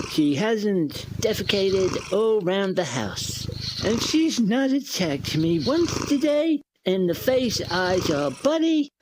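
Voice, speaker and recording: A woman playing a doting, anxious cat owner in a comedy radio monologue, theatrical and cooing. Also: medium pitch. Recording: clearly noisy recording with clicks.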